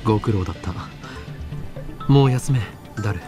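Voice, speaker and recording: A man's voice: fairly low pitch, lively intonation, fast, over music, natural voice. A man playing a serious, self-important young lab leader in a Japanese visual novel, speaking in terse, formal phrases. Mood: grave, curious. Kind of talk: anime-style game voice acting.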